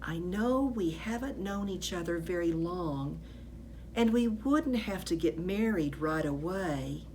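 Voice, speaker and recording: Brief: woman plays friendly middle-aged janitor in a kids' storybook, earnest, excitable, slow-paced, faint noise. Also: on the low side, rough recording. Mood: earnest, hopeful. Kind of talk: children's storybook character voice.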